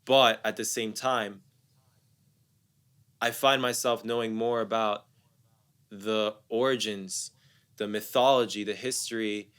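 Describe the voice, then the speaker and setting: A man's voice: lowish voice, steady tone, takes it slowly, pauses often, fairly bright tone, clear, resonant tone. A young man from the United States, a guest musician talking casually and reflectively in an interview, often repeating words as he thinks.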